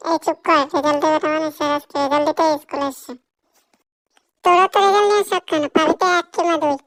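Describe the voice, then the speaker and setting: A performer voicing cheeky, boastful cartoon schoolchildren who whine and stall with exaggerated, drawn-out words. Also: high voice, fairly bright tone. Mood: taunting. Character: cheeky schoolchildren, lazy, boastful, whining to their teacher. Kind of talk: comedy cartoon dub.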